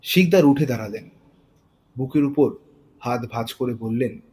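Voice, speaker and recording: A man's voice: medium pitch, moderate pace, warm tone. A man narrating a scripted thriller story in a dramatic storytelling style. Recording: faint noise and ambience behind voice.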